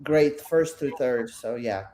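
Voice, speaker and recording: A middle-aged woman from Belarus with a Russian Brooklyn accent and Russian as her first language, speaking as the artist guest in a casual interview, halting and full of restarts and fillers. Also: a bass voice, dark tone, slight background noise.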